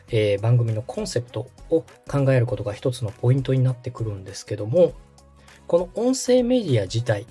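Brief: thirties male host from Osaka, polite explainer, husky, animated intonation, soft music bed. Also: mid-range voice. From Osaka, Japan. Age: 30s. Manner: polite, explanatory, many fillers. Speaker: podcast host and radio director. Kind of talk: explaining casual chat.

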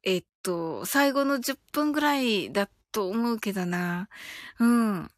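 A woman's voice: mid-range voice, unhurried, no room sound, studio quality. A woman hosting a live audio stream, chatting casually and reading out listener comments in a giggly, chatty way. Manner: giggly, chatty, often ends phrases with soft filler particles.

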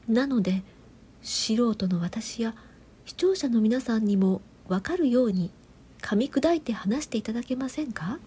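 A woman acts as an earnest female TV reporter in a comic audio drama. Her voice is clearly high. She speaks politely, with the measured, attentive delivery of an interviewer. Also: expressive, dark tone, heavily acted. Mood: puzzled. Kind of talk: comedic audio drama acting.

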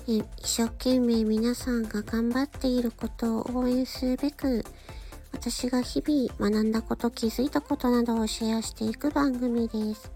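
A woman hosting a solo radio-style talk, speaking politely and gently in short, halting phrases. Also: fairly high pitch. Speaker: radio show host and amateur voice actor.

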